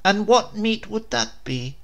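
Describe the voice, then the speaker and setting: A man's voice: sits high, expressive, a bit bright, pitched above the performer's own voice, full character voice. Man doing a blustering talking parrot. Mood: chatty. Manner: blustering, quick to bluff. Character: boastful scheming talking parrot, male. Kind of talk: comic storybook reading.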